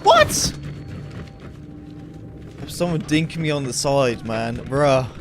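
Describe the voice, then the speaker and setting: A man's voice: medium pitch, expressive, slow, soft background music. A young man, a game streamer, chatting casually and rambling with lots of fillers while he plays. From England. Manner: rambling, with many fillers and acted asides. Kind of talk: casual rambling chat while gaming live. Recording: game gunfire loud behind voice. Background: video game gunfire and vehicles.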